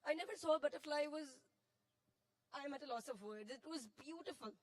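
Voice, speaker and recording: A woman's voice: high-pitched, a little fast, rough recording. A woman with a sore throat giving an earnest, emotional spontaneous comment from the audience. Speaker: audience member giving feedback.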